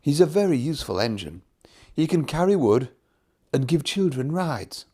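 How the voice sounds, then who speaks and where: A man's voice: a little high, sing-song, normal speed, dark tone, slightly rough. A man voicing a kind, determined old steam engine in a children's storybook, earnest and emphatic. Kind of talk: children's storybook character reading.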